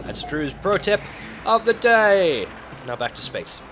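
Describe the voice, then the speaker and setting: A man's voice: sits high, big pitch swings, slow pace, a bit bright. A man doing casual, rambling video game commentary, swearing freely and talking to himself as he plays. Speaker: let's play host. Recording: music behind the voice. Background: game music.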